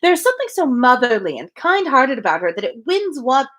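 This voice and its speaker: A woman's voice: high voice, very animated, fairly quick, fairly bright tone, voice pushed up, exaggerated voice. A woman reading a novel aloud as a gushing, self-important, overfamiliar young married lady who chatters effusively. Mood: gushing, self-satisfied.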